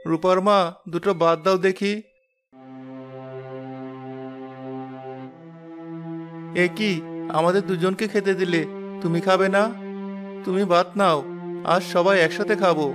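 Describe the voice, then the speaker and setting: A man's voice: sits high, steady tone, moderate pace, close to an everyday voice. A man voicing a humble, poor village father in a cartoon, plaintive and pleading, with music behind. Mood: caring. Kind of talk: moral-story cartoon acting.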